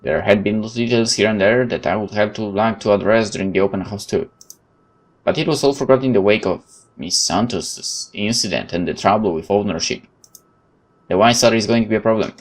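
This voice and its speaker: A man hosting a let's play, reading a visual novel's text aloud and adding informal, animated asides. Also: on the low side, a little fast. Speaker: gaming video host.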